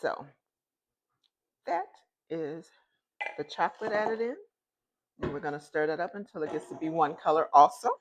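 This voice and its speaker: Woman, low loud voice, chatty home cook, kitchen, phone mic, faint background hum. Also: lively intonation, moderate pace, long pauses. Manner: chatty and homey, talks straight to viewers. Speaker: home cooking host. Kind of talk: casual cooking demonstration. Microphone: phone, later a clip-on mic.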